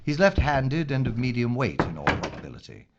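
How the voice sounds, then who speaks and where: A man's voice: medium pitch, huge pitch swings, a little fast, highs cut off, very noisy. A man playing a composed, wry police inspector in a wartime London audio drama, speaking formally and clinically. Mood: grave briefing.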